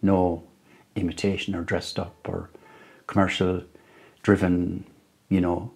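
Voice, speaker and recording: An Irish musician and storyteller giving reflective interview answers at home, speaking slowly and thoughtfully, with frequent pauses and restarts. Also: male voice, low voice, completely flat delivery, gravelly, a little mellow, breathy edge. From Ireland. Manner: slow, thoughtful, halting with many restarts.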